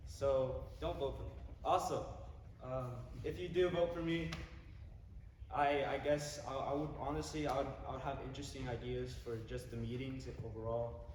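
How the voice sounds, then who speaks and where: Teenage male, informal campaign speaker, halting and rephrasing, mid pitch, very echoey noisy room. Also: steady tone, a little mellow. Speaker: youth candidate giving a campaign speech. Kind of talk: informal campaign speech to a group. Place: large echoey indoor room. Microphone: distant microphone. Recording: echoey hall from far off, background noise.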